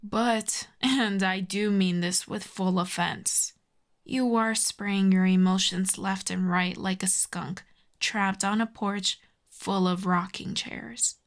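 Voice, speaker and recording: Woman acting out a slow, smug telepathic villainess. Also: mid-range voice, fairly even intonation. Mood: coolly menacing. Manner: sardonic, deliberate, taunting, drawn-out phrasing. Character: smug telepathic female villain, mocking, menacing, secretly intrigued. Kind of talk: ASMR audio roleplay monologue.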